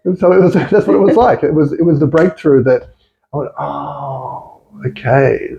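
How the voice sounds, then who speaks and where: A man's voice: on the high side, steady tone, moderate pace, vocal fry, mellow, muffled recording. A man with an Australian accent, a podcast guest talking thoughtfully and repeating words as he searches for phrasing. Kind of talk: reflective casual conversation.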